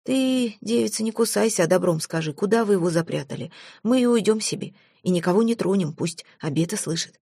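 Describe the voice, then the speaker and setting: A woman reading an audiobook, voicing a calm, steady male warrior who speaks persuasively. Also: mid-range voice, a little fast, vocal fry, breathy edge, dry recording, clean studio sound.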